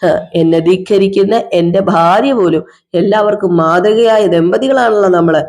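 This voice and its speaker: Drunk, sulking husband, played by a woman: slow sarcastic drawl, mellow dark voice. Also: mid-range voice, close to an everyday voice. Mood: sarcastic, bitter. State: drunk (as acted). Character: drunk, bitter middle-aged husband, self-pitying. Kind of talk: one-person domestic drama skit.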